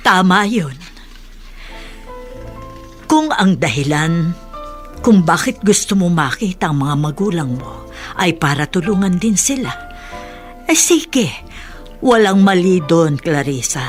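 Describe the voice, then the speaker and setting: An actress playing a wise, stern but caring older woman who admonishes in a measured way, Tagalog radio drama. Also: fairly low pitch, lively intonation. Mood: cautioning. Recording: music behind the voice.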